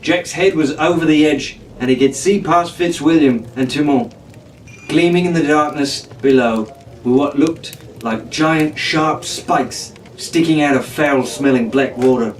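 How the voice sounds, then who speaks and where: A man narrates an adventure storybook in dramatic fashion, his voice a little higher than average. The room rings with echo, he sits back from the mic, and faint noise runs underneath. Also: a little slow, far from the mic. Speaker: storyteller reading aloud.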